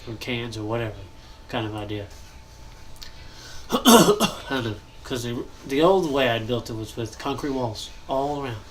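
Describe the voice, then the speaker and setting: Stuffed-up young gamer host, loose thinking-aloud delivery, middle pitch, light background hiss. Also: male voice, expressive, moderate pace, long pauses, poor audio. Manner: rambling, thinking aloud, frequent coughs and throat clearing. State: congested, nasal. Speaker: gaming channel host. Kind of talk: casual commentary while building in a game.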